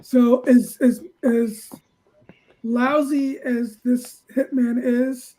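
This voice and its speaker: A woman giving her opinion casually as a panelist, in a deliberate, measured way. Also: on the high side, flat delivery, dark tone, rough recording.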